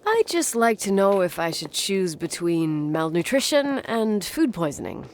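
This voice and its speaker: A woman's voice: on the low side, big pitch swings, a little slow, a little hiss or hum. An actress playing a guarded, dry-witted young woman in a naturalistic video game performance. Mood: dry, weary.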